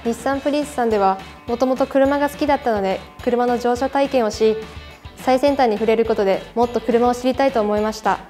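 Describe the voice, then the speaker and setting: A young female high school student giving a formal, rehearsed interview answer. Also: a little fast, dark tone, faint music behind.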